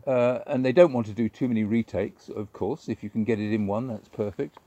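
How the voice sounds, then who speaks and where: A man's voice: on the low side, fairly even intonation, brisk, warm tone, no room sound. A man, a puppeteer, talking reflectively in a casual interview with lots of loose asides. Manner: reflective, loose, full of fillers like "you know".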